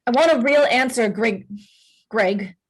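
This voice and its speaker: Lady playing a jealous fed-up wife at a script read, raspy-ish husky voice, kinda high, blunt. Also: measured pace, low-quality recording. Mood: probing. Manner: blunt, exasperated. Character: sharp, hurt, jealous wife, furious in crisis. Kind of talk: screenplay table read.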